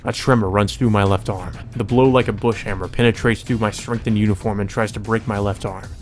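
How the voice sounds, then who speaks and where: A man's voice: lowish voice, fairly even intonation, fairly quick, soft background music. A man reading visual novel narration aloud as a let's play narrator, in a dramatic storytelling manner.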